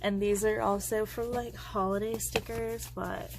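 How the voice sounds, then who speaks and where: A woman's voice: medium pitch, flat delivery, measured pace, noisy background. A congested woman chatting casually and rambling as she crafts at home, her thoughts often trailing off. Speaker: planner vlogger. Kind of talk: casual chat while crafting.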